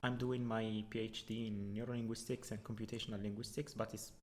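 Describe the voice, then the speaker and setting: A young man from Morocco with a Moroccan accent and Arabic as his first language speaks as a student guest. His voice sits fairly low. He explains things informally and haltingly, often repeating words. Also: level tone, slight background noise.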